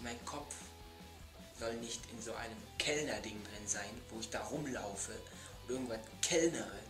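A young adult man delivering an emphatic, exasperated comedic vlog rant in a bedroom, repeating himself for effect. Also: mid-range voice. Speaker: video blogger. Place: bedroom, indoors. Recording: echoey room, music behind.

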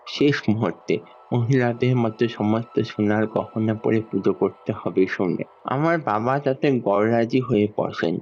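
A man playing a grave, elderly village priest, telling his tale in a measured, solemn way in a horror audio drama. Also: mid-range voice, a little mellow, close to an everyday voice. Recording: slightly dull studio sound under music. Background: background music.

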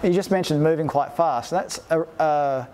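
A man's voice: fairly high pitch, moderate pace, darkish tone. A man in his forties asking conversational questions as a podcast interviewer. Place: large glass-walled expo hall, indoors. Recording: faint ambient hum of large venue.

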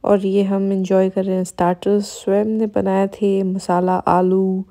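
A woman's voice: medium pitch, level tone, unhurried, mellow. A woman, narrating a family vlog in a casual, chatty style. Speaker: family vlogger. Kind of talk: casual vlog narration mixing Hindi and English.

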